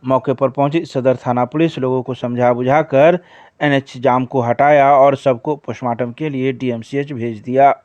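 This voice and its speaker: A man's voice: mid-range voice, fairly even intonation, normal speed, a little mellow, dull audio. A man reading scripted local news reports as a news reader.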